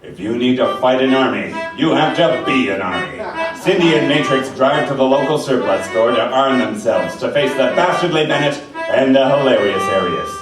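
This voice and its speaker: A man's voice: medium pitch, expressive, normal speed. A man narrating a mock radio serial in a dramatic style. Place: rehearsal hall before a live audience. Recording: very echoey hall, distant, music behind.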